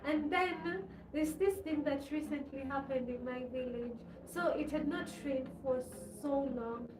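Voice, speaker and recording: A high-pitched woman with a Kenyan accent hosts a casual livestream. She rambles, repeating phrases and putting questions to her viewers. Her voice sounds echoey and far from the microphone, with clear noise behind it. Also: measured pace. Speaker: livestream host talking to her subscribers. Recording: echoey, distant mic, loud background noise.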